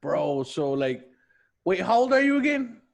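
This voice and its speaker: A man's voice: high voice, very animated, a little slow, vocal fry. A man in his thirties hosting a podcast, chatting loosely, swearing a lot and calling his guest bro. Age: 30s. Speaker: podcast host. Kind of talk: casual chat.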